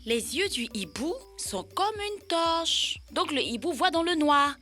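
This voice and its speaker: A woman's voice: sits high, very animated, a little slow, sharp tone, soft background music, exaggerated voice. A woman playing an inquisitive young child in an educational children's cartoon dub. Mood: amazed.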